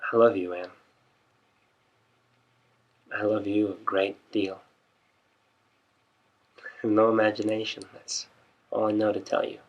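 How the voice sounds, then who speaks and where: A man performing a monologue as an awkward man in love, speaking softly, sincerely and haltingly. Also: on the low side, little pitch movement, poor audio. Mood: tender, nervous. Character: earnest, awkward, lovestruck man confessing quietly. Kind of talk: theatrical audition monologue.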